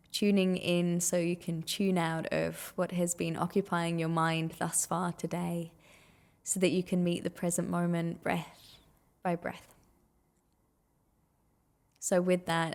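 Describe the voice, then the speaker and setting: A woman guides a meditation in a fairly low voice with a noticeable creak at the edges. She speaks gently and soothingly. She takes her time, leaving long pauses between phrases.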